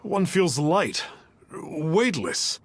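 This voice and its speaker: An actor playing the bewildered, pompous ghost of a male charlatan in a video game, with husky, creaky, old-fashioned speech. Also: a little high, very animated, extremely slow, heavily acted. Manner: archaic, pompous, calls himself "one".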